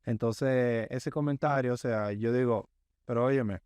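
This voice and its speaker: Smooth-voiced man telling a story. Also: medium pitch, a little mellow, dry recording. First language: Spanish. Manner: measured storyteller, often checks in with "right?". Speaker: podcast guest. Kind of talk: casual interview chat.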